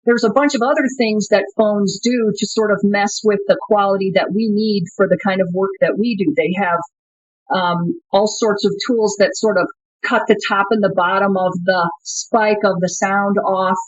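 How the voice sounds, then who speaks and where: A woman's voice: mid-range voice, steady tone, normal speed, dry recording, highs cut off. A woman company co-founder answering interview questions thoughtfully, often restarting her sentences. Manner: thoughtful, frequent restarts and fillers.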